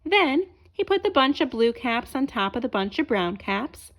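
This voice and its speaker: A woman reads a children's storybook aloud. Her voice is high, with very lively rises and falls, and her narration is expressive and aimed at young listeners. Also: normal speed. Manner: asks listeners questions as she reads. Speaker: storybook reader.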